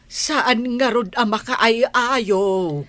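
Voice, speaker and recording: A woman's voice: on the high side, very slow, a bit bright. A woman playing a chatty, opinionated middle-aged village mother with an Ilocano accent in a comedy radio drama. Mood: scolding.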